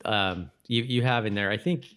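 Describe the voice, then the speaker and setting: A man's voice: on the low side, normal speed, a bit bright, clean tone. A man co-hosting a podcast, chatting casually and jokingly, often repeating words as he thinks aloud. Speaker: podcast co-host. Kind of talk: casual chat about a TV episode.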